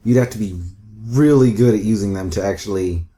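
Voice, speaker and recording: A man's voice: lowish voice, fairly quick, dark tone. A man explaining video game matters at length in a casual, matter-of-fact discussion. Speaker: co-host.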